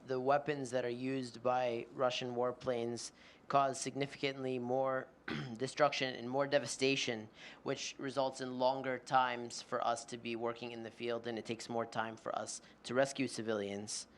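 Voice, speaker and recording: Flat-voiced male interpreter. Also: medium pitch. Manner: measured, with frequent fillers. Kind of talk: consecutive interpreting at a panel.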